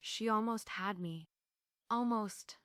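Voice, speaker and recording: Woman playing a bright, sarcastic heroine. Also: mid-range voice, takes it slowly, dry recording, studio quality. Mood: bitter, hurt. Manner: sarcastic, dramatic. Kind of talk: stage script table read.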